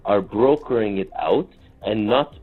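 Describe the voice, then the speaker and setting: A man's voice: lowish voice, unhurried, breathy edge. A man on a phone call, defending himself in a restrained way and stammering over his words. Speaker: company owner taking a call. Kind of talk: tense phone argument. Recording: tinny phone line.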